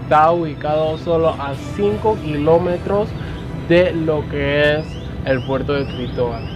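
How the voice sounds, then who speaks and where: Casual vlogger: young man, unhurried and halting, talking outdoors over clear background music. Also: a little high. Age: young adult. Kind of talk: casual vlog presenting to camera. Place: outdoors on a rooftop above a city.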